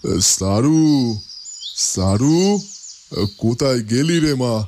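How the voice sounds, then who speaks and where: A man's voice: mid-range voice, expressive, takes it slowly, darkish tone, a little hiss or hum. A man voicing a fretful, doting middle-aged village father in a cartoon, with hesitant interjections. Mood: worried. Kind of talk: Bengali cartoon dubbing.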